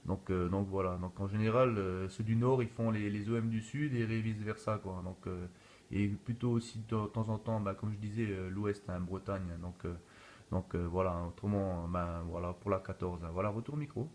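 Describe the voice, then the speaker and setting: A man's voice: on the low side, fairly even intonation, moderate pace, a little mellow. A man talking casually and easygoing as an amateur radio operator, recorded on a phone. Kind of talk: casual radio contact chat. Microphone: phone filming in video mode. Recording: noisy room recording on a phone.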